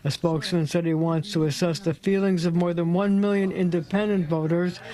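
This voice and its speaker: Male tv news reporter, high voice, steady clipped read. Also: poor audio. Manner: measured, clipped newsreader delivery.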